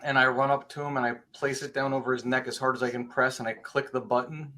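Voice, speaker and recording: Even-toned man playing a tabletop game. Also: medium pitch, quick pace, slight background noise. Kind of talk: casual game description.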